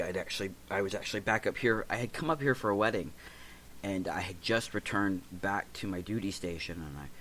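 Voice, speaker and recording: A deep-voiced man in his forties, stuffy and congested from allergies, talking in a halting, searching way full of pauses and fillers. A faint hum sits under his voice as he reflects as a host from the United States. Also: a bit bright. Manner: halting, with many fillers and restarts. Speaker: podcast host. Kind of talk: personal reflective talk.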